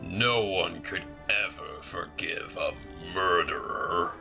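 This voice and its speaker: A man's voice: low-pitched, pushed and bright, full character voice. A man playing the ghost of a dead king who coldly urges his queen to murder, in an audio drama. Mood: menacing. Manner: slow, menacing urging. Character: ghost of a dead Scottish king, vengeful and commanding. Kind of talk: amateur audio drama acting. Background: clear music.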